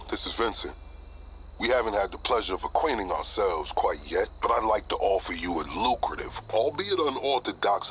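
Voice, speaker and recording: An actor playing a verbose, sardonic police recruit and schemer in a video game, speaking in pompous, formal phrases. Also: male voice, low voice, fairly bright tone, slight background noise. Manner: pompous, wordy formal diction. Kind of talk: scripted video game cutscene acting.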